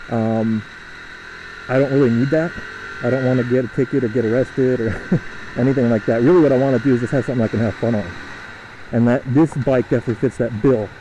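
A young man talks through his ride in a loose, rambling way, full of fillers and restarts. His voice is mellow and mid-pitched. It is picked up by a helmet mic with faint road noise behind it. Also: poor audio. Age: young adult. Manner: rambling, chatty, halting with fillers. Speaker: motovlogger. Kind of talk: casual riding commentary. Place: riding a motorcycle outdoors through a suburb.

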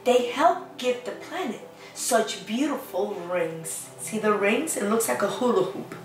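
A woman, perhaps in her thirties, a preschool teacher talking to small children in an animated, simple way with lots of questions. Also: a little high, unhurried. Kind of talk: children's lesson and read-aloud. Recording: roomy, echoing, distant microphone, faint music.

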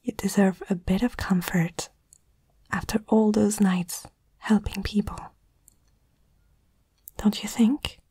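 A woman whispering softly and tenderly in a breathy voice, in a reassuring ASMR comfort roleplay, close to the microphone. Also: mid-range voice, steady tone, slow pace, long pauses, dry recording.

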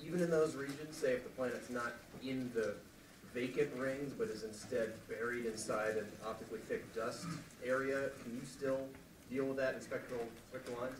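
A man in the audience of a lecture room asks a long, careful technical question. He is picked up from afar, so his voice rings with room echo. Steady background hum sits under him. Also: mid-range voice, moderate pace, distant mic. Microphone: distant room mic.

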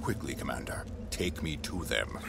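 A man playing an imperious, contemptuous and power-hungry dark lord in an audio drama, speaking deliberately with menace. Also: extremely low pitch, expressive, vocal fry, over music, heavily acted. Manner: slow, deliberate, condescending.

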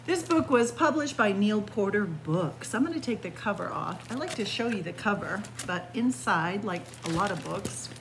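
Woman reading expressively to children, lively pitch. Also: moderate pace, few pauses. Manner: expressive, addressing young listeners as friends. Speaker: library storyteller. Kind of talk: reading a picture book aloud to children. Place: indoors, library room with bookshelves. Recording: clear background room noise.

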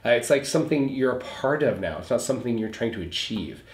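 A man's voice: mid-range voice, lively intonation, fast talker. A man speaking as a pastor, explaining scripture in an earnest, conversational recap of a sermon, repeating points for emphasis. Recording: roomy echo.

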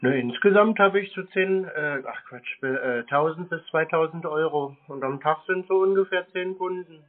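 A woman's voice: low-pitched, moderate pace. A woman answering briefly and laconically in a casual phone conversation. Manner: terse, laconic one-word answers. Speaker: call recipient. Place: phone call. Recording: tinny narrow phone line.

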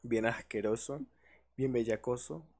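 A man's voice: mid-range voice, a little slow, a little mellow. A young man with a Mexican accent co-hosting a casual livestream chat, joking and slangy. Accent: Mexican Spanish. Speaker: podcast co-host.

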